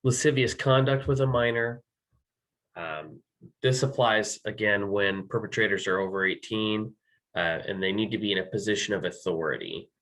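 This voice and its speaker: A man with an American accent presenting a legal training, slightly hoarse and losing his voice, talking haltingly with many ums and self-corrections. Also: lowish voice, a bit bright, poor audio. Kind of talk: slide-based legal training.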